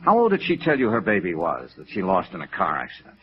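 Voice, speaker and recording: An American actor playing a world-weary insurance investigator in 1950s radio noir, clipped, matter-of-fact and pressing. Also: male voice, low voice, wildly swooping pitch, fast talker. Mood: firm, pressing. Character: world-weary, persistent male insurance investigator narrating his case. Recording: dull vintage radio recording.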